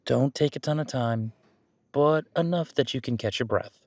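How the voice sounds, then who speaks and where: A man's voice: medium pitch, normal speed, darkish tone, dry recording, lightly acted. A man playing a friendly, easygoing werewolf boyfriend who coaches his date at the gym in a casual, encouraging, rambling roleplay audio. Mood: instructive, relaxed. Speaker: gym partner giving workout guidance. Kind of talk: roleplay audio drama, one-sided casual conversation.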